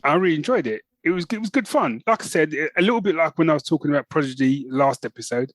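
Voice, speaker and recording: A man's voice: on the high side, expressive, fast talker, no echo. A middle-aged British man co-hosting a podcast in an informal, chatty way, peppering his talk with matey asides. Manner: informal, often says man and you know. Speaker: podcast co-host. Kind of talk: casual chat.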